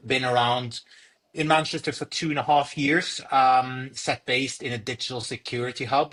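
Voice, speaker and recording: A man's voice: medium pitch, fairly bright tone. A young man from Norway, a startup founder, answering interview questions with many fillers and repeated words. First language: Norwegian.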